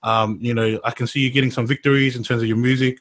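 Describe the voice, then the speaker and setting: A man's voice: medium pitch, little pitch movement, quick pace. Aussie podcast host guy, rambly, says bro a lot, mid voice. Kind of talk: casual interview talk.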